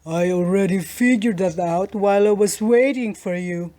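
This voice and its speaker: A woman voicing a gentle, polite male brontosaurus from a children's storybook, speaking slowly and tenderly. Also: mid-range voice, close to an everyday voice. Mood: gentle, understanding. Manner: slow, tender, deliberate delivery.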